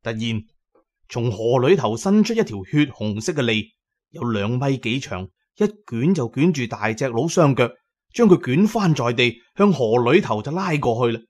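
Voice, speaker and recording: A man with a Cantonese accent narrates an adventure audio drama in an expressive, suspenseful storytelling style. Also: mid-range voice, moderate pace. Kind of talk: dramatic scripted storytelling in the first person.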